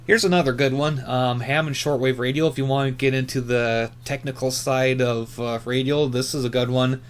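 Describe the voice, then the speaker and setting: A man's voice: mid-range voice, fairly even intonation, clear, resonant tone. Laid-back, rambling man chatting casually. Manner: laid-back, rambling, halting with many fillers. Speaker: hobby radio YouTuber. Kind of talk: casual unscripted chat, reviewing channels.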